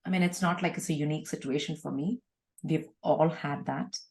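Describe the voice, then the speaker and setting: Female interview guest, slightly low voice. Earnest, emphatic, reflective personal testimony delivered conversationally. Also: steady tone, brisk, creaky, a little mellow. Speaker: guest, organisational leader.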